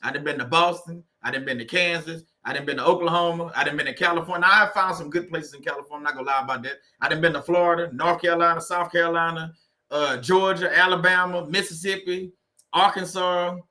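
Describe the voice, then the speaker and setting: A man's voice: on the high side, fairly even intonation, fast, sharp tone. A man from Louisiana with a Louisiana accent, hosting a casual livestream, joking loosely and greeting viewers by name. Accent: Louisiana Southern US. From Louisiana, USA. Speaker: livestream host.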